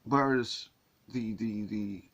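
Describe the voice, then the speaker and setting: A man from England with a low, husky voice talks casually to camera. He rambles, restarting his sentences and repeating words as he goes. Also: slow pace, fairly bright tone. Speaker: vlogger.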